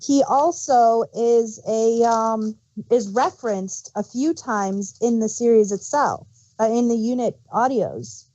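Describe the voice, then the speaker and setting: A woman speaks slowly in a soft-edged, mellow tone, recorded close and dry. She chats as a co-host, explaining references in an informative, casual way. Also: on the high side, dry recording, highs cut off. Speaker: co-host of a fan review show. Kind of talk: casual explanatory fan chat.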